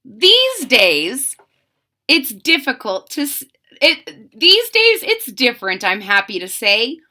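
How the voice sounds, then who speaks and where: A woman reading a picture book aloud in a theatrical, drawn-out way, coaxing young listeners with questions and explanations. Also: high-pitched. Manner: animated, theatrical, drawn-out emphasis; coaxing, explaining asides to children. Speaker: children's story reader and channel host.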